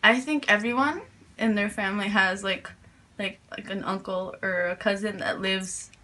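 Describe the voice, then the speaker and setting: A woman's voice: medium pitch, steady tone, normal speed, sharp tone. Hesitant teenage girl from New York. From New York, USA. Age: teens. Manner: hesitant, many fillers and restarts. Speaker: interviewee. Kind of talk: casual interview answers.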